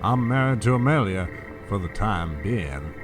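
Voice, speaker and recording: A suave older schemer with a sentimental streak, in a soap-style audio drama. His voice is fairly low, with soft music behind. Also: animated, a little slow. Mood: tender.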